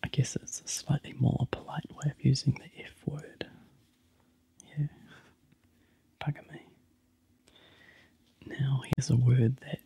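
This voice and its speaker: A soft, breathy ASMR whisper from a New Zealand man, unhurried and full of little ums. His voice sits mellow and dark, with a gravelly edge. The breathiness never lifts into clear tone. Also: mid-range voice, level tone, dry recording.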